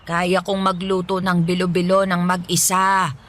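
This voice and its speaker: A woman's voice: fairly low pitch, little pitch movement, unhurried, a bit bright. A woman acting as a doting but strict old grandmother, fussing and scolding in a radio drama. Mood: coaxing.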